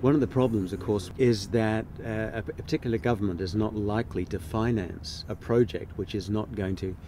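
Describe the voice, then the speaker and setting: A man giving measured interview answers as a filmmaker, with deliberate, rather formal phrasing. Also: on the low side, fairly even intonation, background noise.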